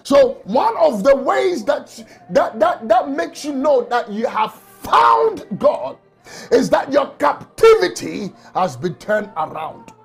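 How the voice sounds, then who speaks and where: A man preaching an emotional sermon from a small office on a lapel mic, often shouting and crying out. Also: very high-pitched, big pitch swings, slow pace, vocal fry, soft background music. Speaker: pastor.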